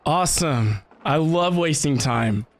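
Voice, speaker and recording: A woman's voice: sits low, animated, a little slow. A woman giving casual gaming reaction commentary, with dry, sarcastic quips. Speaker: gamer reacting to a story quest.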